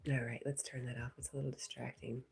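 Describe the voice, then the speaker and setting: A stuffy-nosed woman hosts a relaxed livestream, chatting and reading out viewer comments. Her voice sits low and mellow, with a warm, unhurried tone. She sounds congested throughout. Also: vocal fry, slightly rough. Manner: chatty, talks to her dog and reads chat aloud. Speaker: livestream host. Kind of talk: casual livestream chat.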